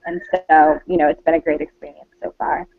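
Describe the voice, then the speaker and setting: A woman's voice: low voice, little pitch movement, dry recording. Woman on a tinny call line. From Michigan, USA. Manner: halting, uneven pace. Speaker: fellow. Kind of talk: self-introduction on a video call. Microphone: video call.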